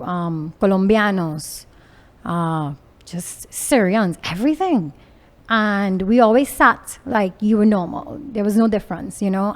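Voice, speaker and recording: A woman with a Trinidadian accent, from Trinidad and Venezuela, answering interview questions in an open, expressive way and often laughing. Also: mid-range voice. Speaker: entrepreneur guest.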